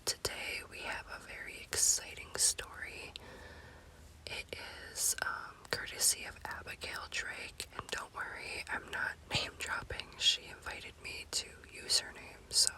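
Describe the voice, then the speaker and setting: Slow, crisp whispering woman, ASMR storyteller. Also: poor audio. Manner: hushed, unhurried whispering. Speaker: host and storyteller.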